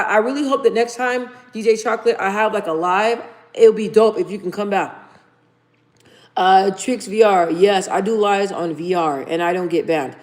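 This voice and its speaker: A woman in her thirties hosting a casual livestream, chatting informally with viewers and reading their comments aloud. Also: mid-range voice. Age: 30s. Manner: chatty and informal, addresses viewers as "you guys". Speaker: livestream host.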